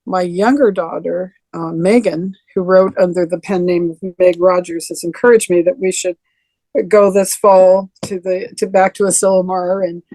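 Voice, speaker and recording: An older woman with a deeper voice, reminiscing in a rambling way with many restarts during a video call interview. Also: little pitch movement, normal speed, dark tone, dry recording, poor audio. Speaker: interview guest.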